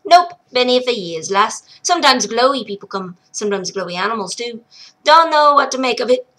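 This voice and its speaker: A woman doing a gruff old mountain hermit. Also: a little high, lively intonation, brisk. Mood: puzzled. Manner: shouty, blustering.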